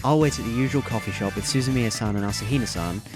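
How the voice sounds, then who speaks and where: A man playing a composed, courteous teenage schoolboy in an audio drama, speaking in a measured way. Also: mid-range voice, over music, close to an everyday voice.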